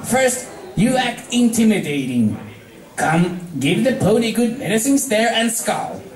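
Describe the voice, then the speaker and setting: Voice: medium pitch, big pitch swings, unhurried, voice pushed up. A person voicing a brash, impatient young female pegasus pony who pushes and coaches, in a story reading. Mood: impatient coaching. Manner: pushy, exasperated. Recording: echoey room, distant mic.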